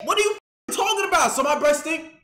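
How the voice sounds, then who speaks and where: A young man hosting a live stream, chatting casually in a living room, jumping between mumbles and loud laughing outbursts. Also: very high-pitched, lively intonation, a little fast, echoey room. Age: young adult. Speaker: streamer host. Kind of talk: casual chat.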